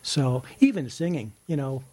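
A man's voice: medium pitch, lively intonation, moderate pace, mellow, dry recording. A man explaining his ukulele club in a casual interview, eager, often restarting and repeating words.

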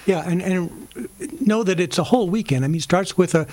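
Easygoing American man, slightly high voice. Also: a little fast, a little mellow. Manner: easygoing, explanatory. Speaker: ukulele club member, interview guest. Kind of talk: casual interview talk.

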